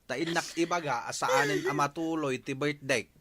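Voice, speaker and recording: A man's voice: on the high side, fairly even intonation, normal speed, fairly bright tone, no echo. A man playing a thrifty, exasperated village husband in a comedy radio drama, scolding and emphatic with an Ilocano accent. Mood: firm.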